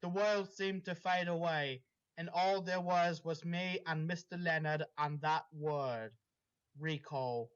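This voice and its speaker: A man's voice: a little high, steady tone, takes it slowly, clear, resonant tone. A man reading a story aloud to friends in a casual way, over a dull lo-fi voice chat. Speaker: story reader.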